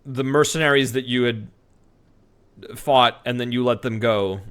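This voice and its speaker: A man's voice: mid-range voice, fairly even intonation, measured pace, a bit bright, clean tone. A man commentating casually on a game livestream, joking with a sarcastic, theatrical manner. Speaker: streamer and voice actor.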